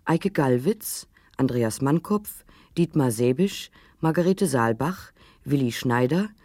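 A woman's voice: very deep voice, animated, takes it slowly, mellow, no echo, clean studio sound. A woman radio announcer reading scripted credits.

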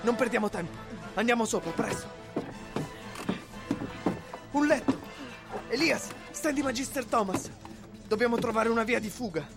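Creaky, urgent fugitive rebel, acted for radio. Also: medium pitch, normal speed, pauses often, music in the background, close to an everyday voice. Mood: urgent, hurried. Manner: urgent, breathless, swearing. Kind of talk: dramatic radio play acting.

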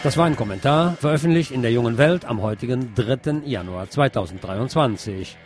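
A man's voice: mid-range voice, expressive, a little fast. Man reading news commentary over music. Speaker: podcast presenter reading press articles. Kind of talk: scripted read-aloud news commentary.

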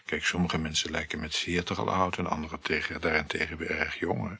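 An actor playing a vain married lover in an intimate radio play, murmuring and coaxing, often slipping into whispers. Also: male voice, rumbling low, flat delivery, fairly quick, creaky, sharp tone, heavily acted. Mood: piqued, insistent. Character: vain married male lover, fishing for flattery.

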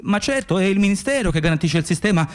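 A man's voice: high voice, lively intonation, quick pace, smooth. An actor playing an obsessive young man who insistently recites laws in bureaucratic language in a radio drama. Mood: insistent, official. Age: young adult. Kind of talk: theatrical radio drama acting. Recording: music under the voice. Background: music.

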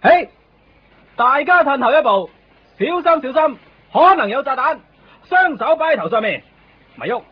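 Casting: bumbling, self-important Hong Kong constable, swooping delivery, melodramatic, dull radio-drama sound. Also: male voice, extremely high pitch, very animated, moderate pace, pauses often, exaggerated voice. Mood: bossy, alarmed. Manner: officious, melodramatic, peppered with English words. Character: excitable, self-important male police constable, overdramatic and bumbling. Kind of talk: comic radio drama acting.

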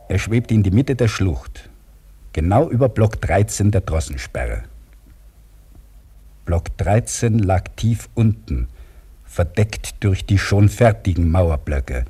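Older crane operator, proud and dutiful, mellow and husky, 1950s radio drama. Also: male voice, deep voice, exaggerated voice.

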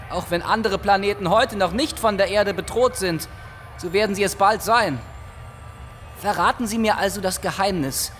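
A man's voice: high-pitched, a bit bright, a little hiss or hum. A male actor playing an earnest, troubled human who asks hesitant, pleading questions in a radio drama. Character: earnest, troubled earthling man seeking answers.

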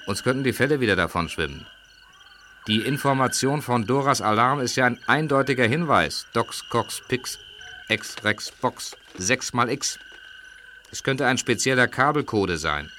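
Man playing a scheming crook with a bright, hard voice. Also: mid-range voice, animated, unhurried, slight background noise. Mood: calculating. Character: adult male criminal plotting a secret search, cautious and scheming. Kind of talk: German audio drama acting.

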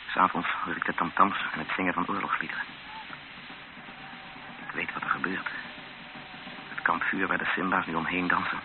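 Low-voiced, tense captive Dutchman. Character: tense captive Dutchman, a witness recounting his ordeal. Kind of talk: first-person radio drama narration and dialogue. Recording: tinny old radio recording with clear noise.

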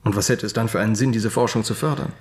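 A man's voice: lowish voice, fairly even intonation, quick pace, close to an everyday voice. An actor playing a probing, businesslike male government minister in a wartime radio drama, asking direct questions. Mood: probing, pointed. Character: brisk, probing male Nazi armaments minister.

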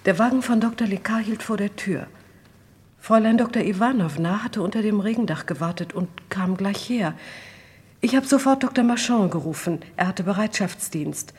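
An actress playing a careful, deferential night nurse in a vintage German radio crime drama. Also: female voice, medium pitch, moderate pace, a little mellow, slightly rough, close to an everyday voice. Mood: factual. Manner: careful, subdued, precise.

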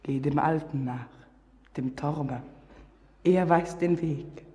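A solemn female spirit who commands and lures. Her voice is mellow and very slow, incantatory, ringing in a long cavernous reverb as in a radio drama. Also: low-pitched. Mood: prophetic. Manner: incantatory, breaking into whispers.

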